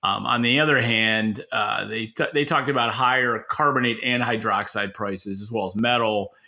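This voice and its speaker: Bright-voiced American man, plainspoken podcaster. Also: on the low side, moderate pace, creaky. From Western New York, United States. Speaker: podcast co-host. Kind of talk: casual analytical podcast chat.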